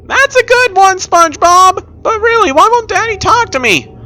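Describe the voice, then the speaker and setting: A man voicing an emotional teenage girl whale from a cartoon in a shrill falsetto. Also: lively intonation, moderate pace, sharp tone, faint music behind, full character voice. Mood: amused.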